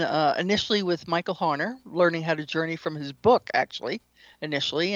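Female interview guest, deliberate pacing with pauses, explanatory, dry sound, slightly muffled lo-fi audio. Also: low voice, dry recording. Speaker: guest author and shamanic practitioner.

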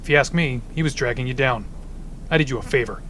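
A gruff, matter-of-fact ice villain, voiced by a man who drops cold puns with dry calm. His voice sits at an ordinary male pitch, and a faint hiss runs under the audio drama recording. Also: quick pace, lightly acted, poor audio. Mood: blunt. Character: pun-loving adult male ice supervillain, gruff and practical. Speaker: voice actor.

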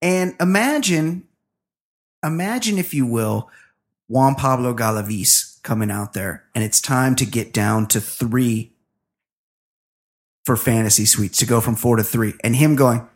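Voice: medium pitch, a little slow. A podcast co-host chatting casually, joking in a crude, blunt way.

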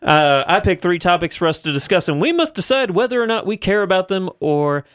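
A man's voice: high-pitched, big pitch swings, fairly quick, fairly bright tone, clean tone, no echo, clean studio sound. A man with a Midwestern American accent, co-hosting a podcast in casual chat. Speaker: podcast co-host.

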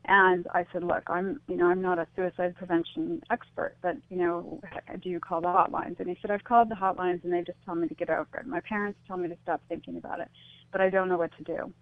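A woman's voice: lowish voice, fairly even intonation, quick pace. A woman giving reflective, tentative interview answers as a guest, explaining things through anecdotes and analogies. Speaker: interview guest, author and speaker on suicide loss. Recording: tinny phone line, echoey.

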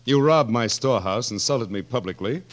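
A man's voice: mid-range voice, lively intonation, moderate pace, lightly acted. An actor in a 1940s radio drama playing a wily, sardonic king of France who speaks in a musing, regal, hesitant way. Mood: stern. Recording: vintage 1940s radio broadcast.

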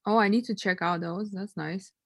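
A woman's voice: mid-range voice, fairly even intonation, fairly bright tone, husky, no room sound. Ukrainian-accented woman, dry close sound. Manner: non-native grammar, measured and earnest. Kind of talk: casual chat.

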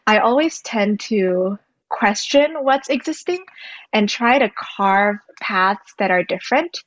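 A woman's voice: medium pitch, animated, slow pace, a bit bright, no room sound. Brief: young woman from Indonesia, earnest guest, dry dull lo-fi sound. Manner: thoughtful, earnest, explains at length. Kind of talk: podcast interview.

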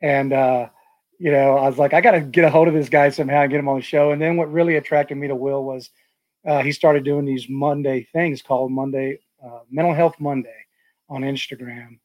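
A man hosts a relaxed interview, rambling through his thoughts with plenty of ums and restarts. His mid-pitched voice sits close and dry, with no room sound around it. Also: fairly quick, no room sound, dull audio. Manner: rambling, many fillers and restarts. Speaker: podcast host. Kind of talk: casual interview chat.

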